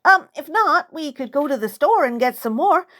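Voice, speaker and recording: A woman's voice: sits high, expressive, normal speed, sharp tone, voice pushed up. A woman voicing a contrite young American boy in an audiobook, speaking with hesitant, stammering apologies. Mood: sheepish, apologetic. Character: contrite young American boy, recently bereaved, eager to make amends. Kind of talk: first-person audiobook character dialogue. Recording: music under the voice.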